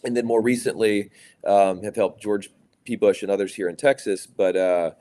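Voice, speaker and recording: A middle-aged man from Louisiana, a business executive answering interview questions in a measured way, with many fillers and restarts. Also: on the low side, fairly even intonation, warm tone. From Louisiana, USA. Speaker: private equity executive, guest.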